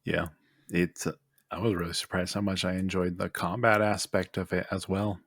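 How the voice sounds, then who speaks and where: Low, husky male podcast panelist. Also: flat delivery, moderate pace, fairly bright tone, dry recording, studio quality. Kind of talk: casual podcast discussion.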